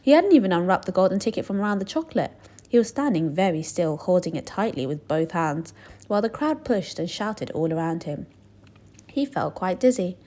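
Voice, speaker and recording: A woman narrating a children's book aloud in an expressive, deliberate storytelling style. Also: lowish voice.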